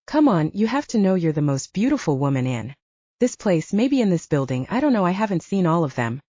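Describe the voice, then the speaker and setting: Audiobook lady doing cocky flirty werewolf chef guy, nevada accent, lively pitch, slightly dull recording. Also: a little fast, dry recording. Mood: flirtatious. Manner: flirty, self-assured.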